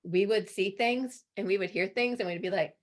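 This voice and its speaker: A woman with a hoarse voice co-hosting an online interview, asking questions in a conversational, circling and halting way. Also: medium pitch. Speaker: co-host and interviewer. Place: remote video call.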